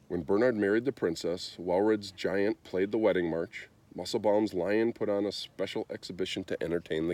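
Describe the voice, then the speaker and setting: A man reading a children's story aloud in an expressive storytelling style. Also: deep voice. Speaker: storybook reader.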